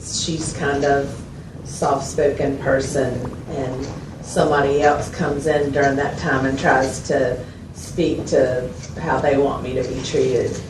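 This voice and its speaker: A woman's voice: a bass voice, level tone, slow pace. A woman playing a cautious, plain-spoken mental health client in a role-played consultation, speaking simply and briefly. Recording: echoey, distant mic, noisy room.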